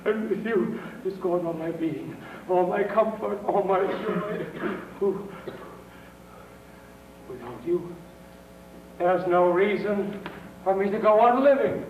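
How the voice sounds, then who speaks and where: A man's voice: sits high, highs cut off. An actor on stage playing a miserly old father who wails and rants theatrically over his stolen money. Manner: frantic, wailing, theatrical. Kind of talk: stage acting. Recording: far roomy stage mic, audience noise.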